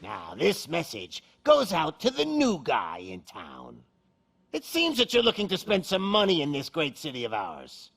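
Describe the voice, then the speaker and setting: A man's voice: fairly high pitch, huge pitch swings, a little slow, a bit bright, heavily acted. A man playing a cocky, menacing gang boss in a video game, with a taunting showman's delivery. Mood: mock-announcer swagger. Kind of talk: video game villain acting.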